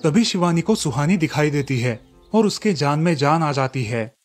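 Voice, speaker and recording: Guy narrating a kids cartoon story, slightly high voice, soft music behind. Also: moderate pace. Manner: steady storytelling delivery.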